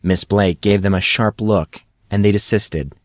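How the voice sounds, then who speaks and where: A man's voice: lowish voice, measured pace, no echo, studio quality. A man reading a scripted fantasy story as an audiobook narrator.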